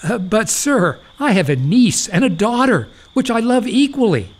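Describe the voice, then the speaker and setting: A man acting a gullible old doctor in an audio farce, pompous and rapturous, with deferential, drawn-out exclamations in period English. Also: sits high, big pitch swings. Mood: deferential, eager.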